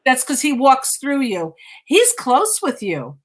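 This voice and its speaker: High-voiced woman giving a psychic reading. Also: wildly swooping pitch, slow. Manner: insistent, repeats phrases, relays messages as quotes. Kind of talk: conversational live mediumship reading.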